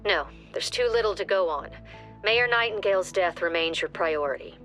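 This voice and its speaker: An actress playing a mission briefing officer in a game, giving orders in a crisp, clipped tone over soft music. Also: female voice, mid-range voice, animated, moderate pace, natural voice. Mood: calm. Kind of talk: game cutscene voice acting.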